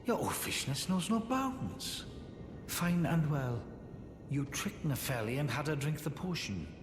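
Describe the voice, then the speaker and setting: A man's voice: fairly high pitch, big pitch swings, a little slow. A man acting as a haughty, condescending sorcerer in a fantasy video game, with a pompous, theatrical drawl. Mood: scornful, conspiratorial. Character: haughty, condescending male sorcerer, sly and scornful puppet-maker. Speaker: preceptor of sorcery. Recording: echoey reverberant hall with game music.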